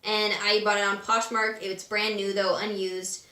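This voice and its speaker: A woman with a raspy voice today, casually talking through a makeup tutorial at home. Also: mid-range voice, measured pace, echoey room. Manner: chatty, relaxed and instructive, with frequent fillers. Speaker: beauty vlogger. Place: room at home.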